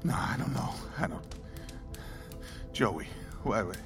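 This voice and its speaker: An actor playing a middle-aged father in an audio drama, covetous, halting and easily overwrought. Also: on the low side, lively intonation, over music. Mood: torn, confused. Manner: halting, breathless, swinging from awe to alarm. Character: covetous, excitable American father obsessed with an instrument.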